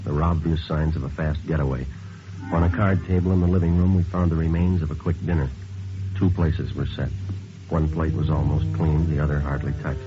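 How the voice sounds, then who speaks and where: Very deep-voiced deadpan police sergeant. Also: male voice, fairly quick. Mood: matter-of-fact. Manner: deadpan, matter-of-fact, clipped. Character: terse, businesslike male police sergeant narrating his case. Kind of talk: 1950s radio police drama, clipped narration. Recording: muffled old radio recording with music.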